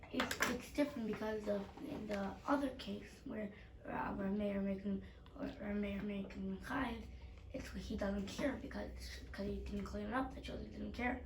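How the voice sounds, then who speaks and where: A female student questioning the teacher in class discussion, halting and repeating words, recorded far from the microphone in a noisy room. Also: medium pitch. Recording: distant mic, noisy echoey room.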